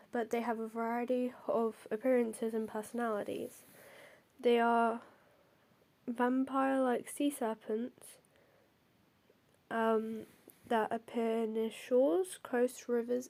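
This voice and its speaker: A woman's voice: a little high, creaky, warm tone. A young woman with a cold and a stuffy nose, hosting and reading out folklore notes, hesitant with many ums and apologies. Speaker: host.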